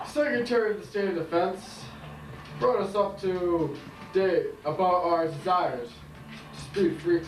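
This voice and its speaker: Slow high-voiced man, echoey and distant. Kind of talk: reading out a quotation. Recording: echoey, off-mic, music over it.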